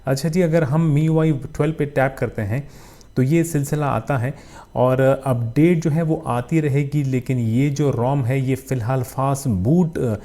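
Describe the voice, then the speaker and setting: A man's voice: mid-range voice, a little slow, mellow. A man with a Pakistani accent narrating a casual phone review as a channel host, often using filler phrases and mixing in English tech terms.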